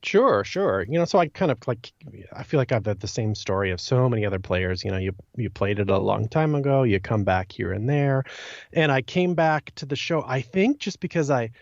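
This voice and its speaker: A man's voice: mid-range voice, lively intonation, brisk, dry recording, muffled recording. A man chatting casually as a podcast guest, enthusiastic and rambling, with lots of "like" sprinkled through his sentences.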